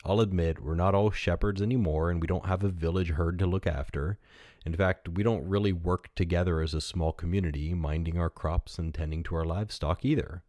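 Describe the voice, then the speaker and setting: A man's voice: low voice, steady tone, fairly quick, darkish tone, no echo, clean studio sound. A man narrating a read-aloud children's story as a storytelling podcast host.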